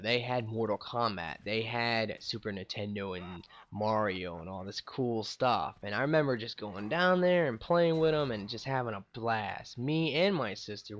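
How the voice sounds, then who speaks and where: Young male storyteller, loose rambling delivery, loud then mumbly, mid-pitched. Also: highs cut off. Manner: rambling, frequent "like" and "you know", swings between loud bursts and trailing off. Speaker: gaming channel host. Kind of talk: casual storytelling.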